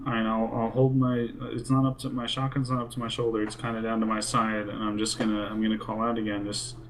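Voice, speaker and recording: A man with a fairly low voice talks casually as a player in a role-play game. He thinks aloud hesitantly, over faint background noise. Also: monotone, fast, low-quality recording.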